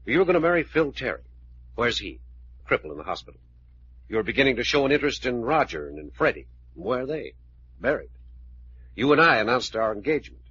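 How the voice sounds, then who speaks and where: An actor playing a blunt, brisk American private detective in charge, in an old-time radio mystery style. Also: male voice, medium pitch, big pitch swings, sharp tone. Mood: insistent, forceful. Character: hard-boiled, decisive male private detective in charge. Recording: dull, noisy old radio recording.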